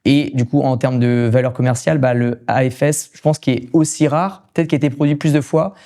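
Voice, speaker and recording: Man, rapid speech, soft dark tone, casual podcast storytelling, English loanwords. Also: mid-range voice, steady tone. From France. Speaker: podcast guest, gym equipment coach and reseller. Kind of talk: casual anecdotal interview chat.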